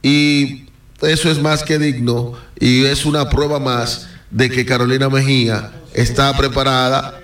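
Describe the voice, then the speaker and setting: A man's voice: medium pitch, flat delivery, a little slow, a bit bright. A man, a radio guest giving his views in an earnest, insistent way. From Dominican Republic. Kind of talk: opinionated radio talk. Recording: roomy studio, mic at a distance.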